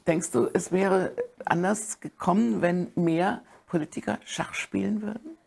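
Husky, gravelly middle-aged woman interviewer. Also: lowish voice, measured pace, darkish tone. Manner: conversational, brief prompting questions. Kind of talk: interview questions. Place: bookshop, indoors.

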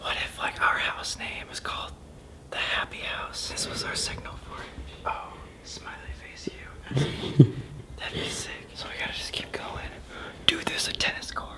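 A man's voice: moderate pace, long pauses. A young man filming an urban exploring vlog, often whispering as he walks through an abandoned building. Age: young adult. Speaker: vlogger.

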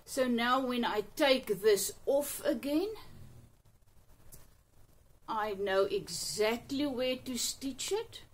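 A woman's voice: on the high side, slow, long pauses. A woman in her fifties with an Afrikaans accent, chatting her way through a sewing tutorial in her home sewing room, upbeat and full of little exclamations. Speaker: sewing channel host. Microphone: camera on a table, a few feet off.

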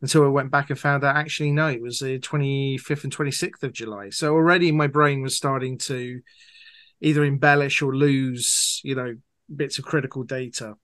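A man's voice: mid-range voice, steady tone, moderate pace, fairly bright tone, no echo, clean studio sound. A man giving conversational, explanatory answers as an author being interviewed, talking at length.

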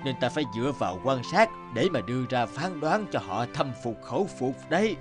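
A man plays an old village healer from a cartoon folktale. He is a wise, stern teacher who instructs and admonishes in measured phrases. His pitch sits in the middle, with light music underneath. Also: sing-song, lightly acted. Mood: explaining. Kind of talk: Vietnamese cartoon voice acting.

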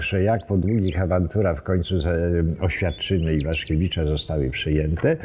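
A man, telling local history informally, haltingly and with wry asides. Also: low voice, a little mellow, husky. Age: 70s. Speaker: villa owner telling its history. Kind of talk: informal storytelling to camera, reminiscing. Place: open wooden veranda outdoors among trees. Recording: outdoor background noise, birdsong, small clicks.

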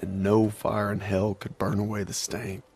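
An actor playing a grim, resolute soldier in a war game. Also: male voice, lowish voice, little pitch movement, moderate pace, vocal fry, a little mellow. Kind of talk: dramatic war game acting.

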